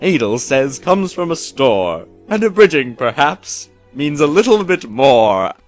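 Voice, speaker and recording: A man voicing a creepy, scheming old thief in a rhyming storybook parody, with a theatrical, drawn-out delivery. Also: a little high, very animated, soft background music. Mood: puzzled.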